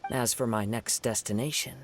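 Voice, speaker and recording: A man voicing a calm, principled young wandering swordsman in a video game, speaking in a measured, formal, reflective way. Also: medium pitch, noisy background, lightly acted. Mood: composed, polite. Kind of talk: video game dialogue acting. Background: game music.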